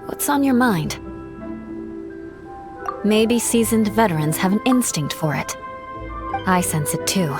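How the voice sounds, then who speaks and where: A woman's voice: mid-range voice, expressive, takes it slowly, long pauses, music in the background. An actress playing a cool, reserved but caring female knight in a video game, speaking formally and firmly. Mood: guarded, thoughtful. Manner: composed, formal, firm.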